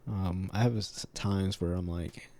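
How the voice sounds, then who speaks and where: Low gravelly man, halting, full of fillers. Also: steady tone, dark tone. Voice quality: slightly nasal. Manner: halting, many fillers and pauses. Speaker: vlogger. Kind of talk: casual reflective monologue.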